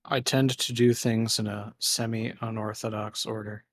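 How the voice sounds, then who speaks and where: Flat-voiced calm game master man. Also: on the low side, a little slow, no room sound, studio quality. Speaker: dungeon master. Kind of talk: running a tabletop game.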